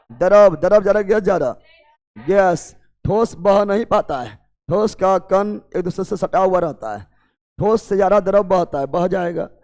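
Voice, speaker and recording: A man leads a loud exam coaching class. He drills his students with call-and-response questions and pushes them to hurry. His voice sits high and rings with long reverb in a classroom. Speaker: teacher.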